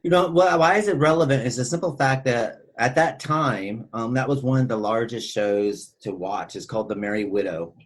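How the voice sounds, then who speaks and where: A man's voice: mid-range voice, moderate pace, hardly any pauses. A man in a casual, rambling film discussion as a podcast co-host, often leaning on "you know". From northern New York State, United States.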